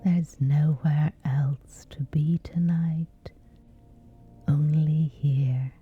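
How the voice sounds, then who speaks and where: A woman softly guiding a scripted sleep meditation in a breathy, gentle, soothing hush with long pauses. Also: sits low, fairly even intonation, narrow, tinny audio. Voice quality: breathy, whispery soft.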